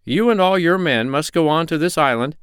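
Guy doing a stern but kind animal doctor for a kids story, higher pitched, formal and bossy, clean sound. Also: lively intonation, a little fast, a bit bright, smooth, no echo, studio quality. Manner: firm, formal, lecturing. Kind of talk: children's storybook character voice.

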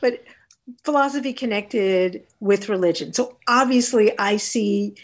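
A woman speaking as an interview guest who teaches philosophy, telling reflective stories in a measured, deliberate and articulate way. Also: medium pitch, a little hiss or hum. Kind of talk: reflective interview conversation, storytelling.

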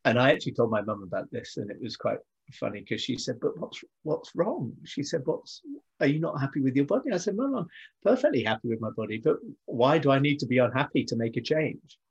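A man, speaking as a guest on a conversational podcast. He explains his thinking earnestly, with plenty of ums and restarted sentences. His voice sits at a middle pitch for a man. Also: expressive, fairly quick, vocal fry, highs cut off. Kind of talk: casual interview chat.

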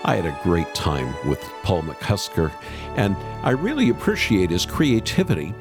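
Gravelly, lowish-voiced male podcast host. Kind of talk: conversational hosting.

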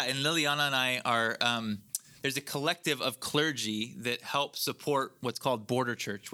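A man's voice: medium pitch, pushed and bright. A man hosting a podcast interview, upbeat and a little rambling, often correcting himself mid-sentence. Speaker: podcast host, clergy.

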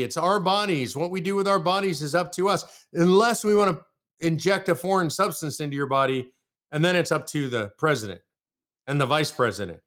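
A man's voice: on the high side, fairly bright tone. An American man from California hosting a live political commentary show, ranting in heated, punchy bursts of repetition.

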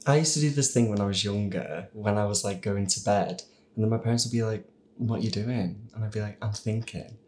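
Guy with a fairly low voice, casual panel chat, telling stories about himself. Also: a little fast, darkish tone. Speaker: podcast panellist. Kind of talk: casual personal anecdote.

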